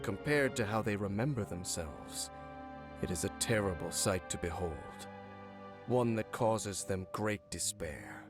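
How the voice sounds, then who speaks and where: An actor playing a brooding, centuries-old cursed former guard captain in a video game, speaking in a formal, measured, solemn way. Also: male voice, sits low. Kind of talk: video game character dialogue. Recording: game audio with background music. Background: game music.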